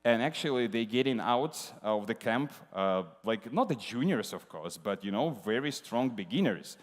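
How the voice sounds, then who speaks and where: A man's voice: medium pitch, expressive, moderate pace. A man giving a casual conference talk, speaking informally with many fillers and hesitations. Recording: hall reverb from a live stage.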